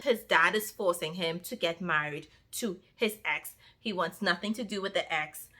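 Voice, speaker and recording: Lively Trinidadian woman, bright voice. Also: mid-range voice. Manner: lively, chatty, talks straight to viewers. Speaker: book vlogger. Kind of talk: casual chat to camera.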